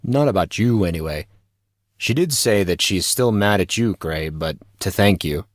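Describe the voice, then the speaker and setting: A man plays a laid-back teenage schoolboy in an audio drama with a low voice. His talk is slangy, gossipy and flippant, and the recording is dry with no real room sound. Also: expressive, normal speed, no room sound. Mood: flippant, casual.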